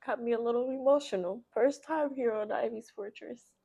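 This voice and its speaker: A woman hosting a children's read-aloud, speaking in an expressive, emphatic storyteller's manner. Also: fairly high pitch, moderate pace, creaky, husky, highs cut off.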